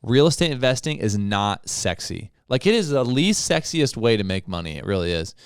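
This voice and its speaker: A man's voice: fairly low pitch, sing-song, moderate pace, clear, resonant tone, no room sound, clean studio sound. A man co-hosting a podcast in casual, conversational talk, laughing easily. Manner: conversational, laughs easily, slips into quoted voices. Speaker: podcast co-host. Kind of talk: casual chat.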